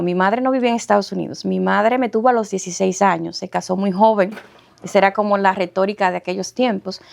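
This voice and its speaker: A middle-aged woman with a Dominican accent, the guest of an interview podcast, telling her life story in a lively way with frequent laughter. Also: medium pitch, vocal fry. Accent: Dominican Spanish. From Dominican Republic. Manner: warm, animated storyteller, laughs often.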